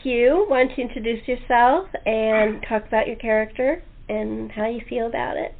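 A woman's voice: fairly high pitch, normal speed, slight background noise. A woman hosting a casual group interview, chatting informally with her guests as she introduces them. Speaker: host interviewing a radio play cast. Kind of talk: casual chat. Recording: tinny, narrow phone-line sound.

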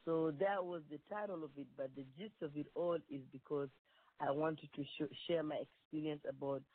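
A woman's voice: low-pitched, fairly even intonation, normal speed, no room sound. A woman from Kenya with a Kenyan accent, a performing artist talking earnestly in a phone interview, rambling with many restarts. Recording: tinny dry phone line.

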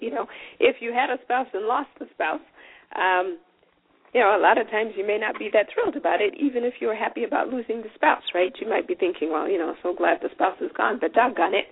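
A woman's voice: fairly high pitch, creaky, slightly rough, dry recording. Chatty woman host on a tinny line. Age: 40s. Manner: chatty, folksy, encouraging. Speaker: podcast host. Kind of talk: solo podcast talk. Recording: tinny phone-like sound.

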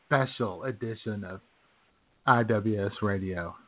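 A man's voice: on the low side, unhurried. A man hosting an internet radio show from a small-town home studio, chatting in a laid-back way and chuckling often. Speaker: host. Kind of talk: casual radio chat. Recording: tinny phone-like line.

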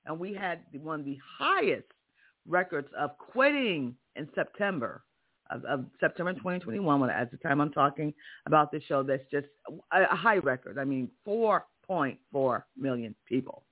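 A man's voice: a little high, expressive, normal speed. A man with a strong voice hosting a career podcast, giving an emphatic motivational monologue full of rhetorical questions. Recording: tinny phone-like audio.

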